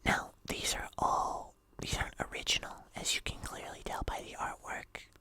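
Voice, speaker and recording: An ASMR host chatting casually to viewers in a soft, unhurried whisper with drawn-out phrases. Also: no room sound. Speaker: host of an ASMR video channel.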